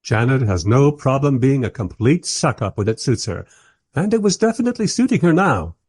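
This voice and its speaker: An actor playing a wry, irreverent writer in a radio play, speaking in a dry, measured, slightly husky way as narrator and polite interviewer. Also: male voice, medium pitch, sing-song, darkish tone.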